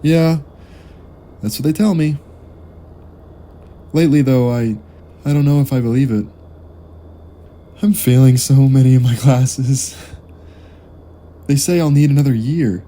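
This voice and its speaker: A man's voice: mid-range voice, unhurried, pauses often, mellow, natural voice. A man playing a discouraged, self-doubting young university student in an audio drama, hesitant and subdued. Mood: downcast. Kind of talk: naturalistic audio drama acting.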